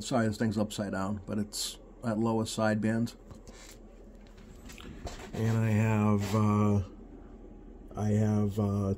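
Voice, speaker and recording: A man giving a casual hands-on repair demonstration at his workbench, talking haltingly and pausing often as he works. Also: fairly low pitch, warm tone, slight background noise. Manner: halting, hesitant, pausing often while working. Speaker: radio repair hobbyist presenting a bench video. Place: indoors at a workbench.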